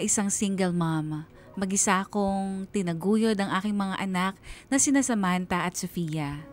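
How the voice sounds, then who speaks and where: A woman's voice: mid-range voice, close to an everyday voice. An actress playing a devoted, struggling Filipino single mother, narrating her life story earnestly in a scripted radio drama.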